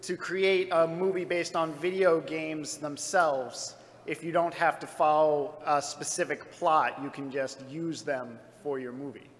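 A man's voice: a little high, fairly even intonation, measured pace. A man in an audience, putting a casual question to a live panel. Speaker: audience member asking a question. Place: convention panel room audience. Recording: echoey hall, faint background noise.

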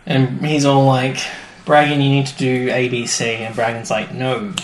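A man's voice: medium pitch, level tone, moderate pace. Casual male podcast co-host in an echoey room. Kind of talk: casual banter recapping a TV show. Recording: roomy echo, faint background noise.

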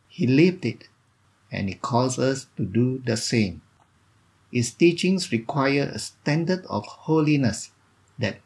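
A man reading a scripted devotional in a solemn, deliberate manner, phrase by phrase. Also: mid-range voice, a little mellow, husky. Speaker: devotional reader.

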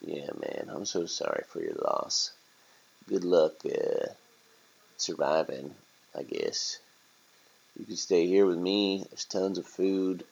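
Man voicing a low, slangy, jaded street rat. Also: fairly even intonation, takes it slowly, vocal fry, long pauses, darkish tone, slightly rough, muffled recording, full character voice. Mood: shrugging, friendly. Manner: slangy, casual, drawling "man" and "brah". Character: jaded, laid-back street rat, blunt but friendly.

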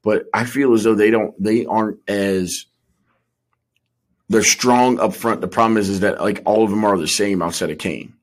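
A man's voice: lowish voice, moderate pace. A man co-hosting a podcast, chatting casually about sports in slangy, informal speech and repeating points for emphasis. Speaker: podcast co-host.

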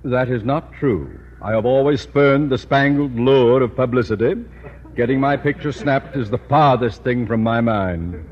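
An actor playing a pompous, blustering middle-aged school principal in a 1950s radio sitcom, long-winded and stiff. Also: male voice, mid-range voice, a little slow, close to an everyday voice. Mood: stern. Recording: muffled old radio broadcast with hiss.

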